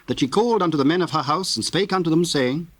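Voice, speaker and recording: A man narrating scripture in a solemn, measured, formal way, reading from a script. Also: a little high, animated, fairly bright tone, no echo.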